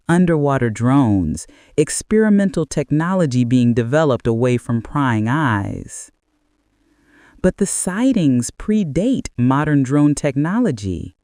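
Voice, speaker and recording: A man narrating a scripted bedtime mystery story in a measured, deliberate way with dramatic pauses. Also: on the high side, dark tone, no room sound, studio quality.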